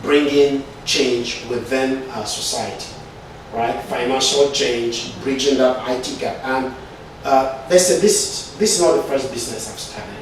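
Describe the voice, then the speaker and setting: A middle-aged man from Cameroon, speaking as an IT consultant and entrepreneur. He pauses often, restarts his sentences and checks in with "right?". His voice is distant and bounces around a very echoey room with faint background noise. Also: medium pitch. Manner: hesitant, many fillers and restarts, frequent "right?". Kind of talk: interview answers.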